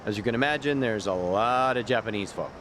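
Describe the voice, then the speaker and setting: An actor playing a streetwise, easygoing ex-gangster guide in a video game, explaining things in casual slang. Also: male voice, fairly low pitch, a little fast, fairly bright tone, slight background noise, lightly acted. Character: streetwise, easygoing male gang deserter and local guide in a game.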